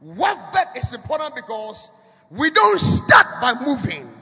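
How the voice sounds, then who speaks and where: Shouting Ghanaian preacher, high and gravelly. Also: male voice, huge pitch swings. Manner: shouting, sing-song, with rapid bursts and repeated rising phrases. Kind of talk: sermon. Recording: dull lo-fi sound with long hall reverb.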